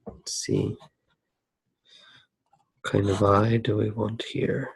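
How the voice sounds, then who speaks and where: Slow, husky, low-voiced man speaking softly. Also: flat delivery, low-quality recording. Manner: hesitant, musing, thinking aloud with pauses. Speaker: host of an ASMR drawing channel. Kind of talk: soft-spoken ASMR commentary while drawing.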